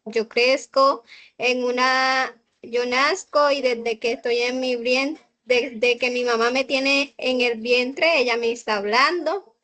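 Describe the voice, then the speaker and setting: A young Colombian woman student answering on a video call, halting with frequent fillers and restarts. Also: fairly high pitch, pushed and bright. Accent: Colombian Spanish. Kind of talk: hesitant class answer.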